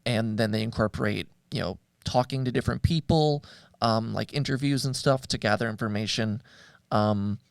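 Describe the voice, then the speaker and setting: A man's voice: fairly low pitch, normal speed, gravelly, clean tone, dry recording, studio quality. A man in a casual discussion as a show host, speaking hesitantly and searching for his words. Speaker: podcast host. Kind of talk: casual discussion of audio dramas.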